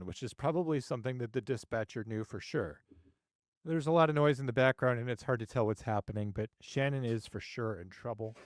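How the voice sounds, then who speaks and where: A man presents a case analysis to an online audience in a mid-pitched voice. The sound is dry and close, and he opens his phrases emphatically before easing down at the ends. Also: no room sound. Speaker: host, active 911 dispatcher.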